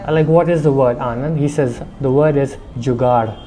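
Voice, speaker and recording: A man's voice: mid-range voice, normal speed, a crowd in the background, low-quality recording. A middle-aged man who runs a restaurant, giving conversational interview answers and explaining things at length, with a light accent. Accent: slight Indian-English with foreign lilt. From India. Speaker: restaurant owner.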